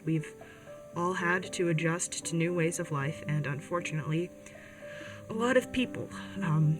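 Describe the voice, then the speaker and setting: A woman's voice: sits low, natural voice, poor audio. A woman playing an earnest, caring older sibling who hosts a community radio show in an audio drama, chatty and a little hesitant. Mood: warm, hopeful. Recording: music bed behind the voice.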